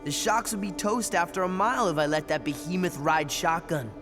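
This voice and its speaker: A man's voice: a little high, lively intonation, a little fast, close to an everyday voice. An actor playing a cocky, grumpy teenage boy in a video game, blunt and sarcastic about everything. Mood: sarcastic, suspicious. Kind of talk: scripted video game cutscene acting. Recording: loud music behind the dialogue.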